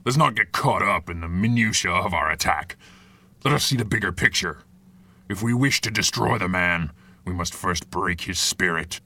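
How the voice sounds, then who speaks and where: A low-voiced villain of 1930s radio drama, with a gravelly edge. He speaks deliberately and formally, a persuasive organiser of schemes with a forceful delivery. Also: no echo, exaggerated voice. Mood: measured, persuasive. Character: male villain, measured organiser of a villains' alliance.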